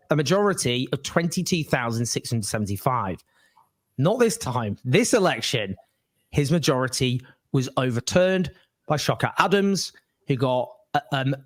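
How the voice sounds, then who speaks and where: A man hosting a political interview, setting up his guest with emphatic lists of figures and frequent restarts. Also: medium pitch. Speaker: interview host.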